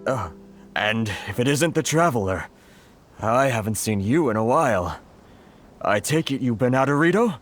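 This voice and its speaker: A man's voice: mid-range voice, expressive, moderate pace, faint music behind, close to an everyday voice. A man voicing an anxious, put-upon foreign merchant who talks in a flustered, sighing, complaining way.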